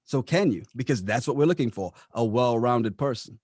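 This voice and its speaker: A man's voice: medium pitch, animated, normal speed, darkish tone, breathy edge, no room sound, clean studio sound. A man answering interview questions conversationally, explaining things and often posing rhetorical questions as he goes. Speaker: radio operations manager being interviewed.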